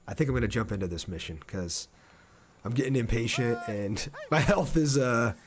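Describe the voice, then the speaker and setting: A man with a mid-pitched voice gives casual gameplay commentary on a live stream. He thinks aloud as he goes and talks informally to his viewers. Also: normal speed, husky.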